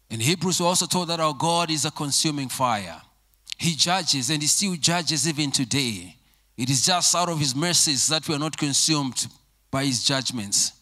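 A man in his forties preaching a sermon in a church on a handheld microphone, emphatic and repetitive, dropping to low quiet phrases. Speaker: pastor. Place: church sanctuary with congregation.

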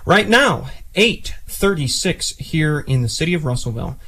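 A man's voice: fairly high pitch, lively intonation, normal speed, fairly bright tone, husky. A young man presenting as a campus radio DJ between songs in a casual, chatty way. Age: young adult. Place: small campus radio studio, indoors. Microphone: close studio microphone, headset monitors.

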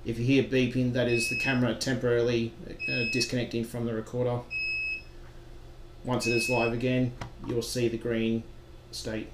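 A man narrating a software tutorial as a trainer, giving plain step-by-step instructions in a roomy recording with some noise. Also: medium pitch, monotone, moderate pace. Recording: roomy echo with background noise.

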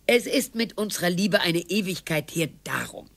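Sardonic old wife in a radio play, husky, swoopy intonation, dry n weary. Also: female voice, on the low side, animated, moderate pace. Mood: weary, wistful. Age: older.

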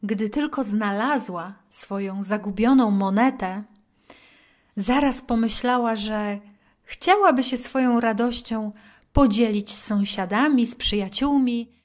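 A woman's voice: fairly high pitch, unhurried. A middle-aged woman presenting to children in a storytelling, teacherly style. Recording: roomy echo, slightly distant mic.

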